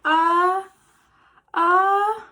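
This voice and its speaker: A woman voicing an anxious, dramatic storybook elephant with a halting, overwrought delivery. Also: very high voice, fairly even intonation, pushed and bright, pitched above the performer's own voice, full character voice. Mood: dramatic despair. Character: anxious, dramatic, sneezy elephant, a devoted best friend.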